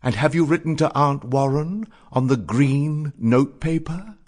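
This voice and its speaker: An actor playing a witty, dandified, eloquent young letter writer in a theatrical, florid, articulate reading of letters. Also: male voice, fairly high pitch. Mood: boyish, eager. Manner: florid, expressive, measured and articulate.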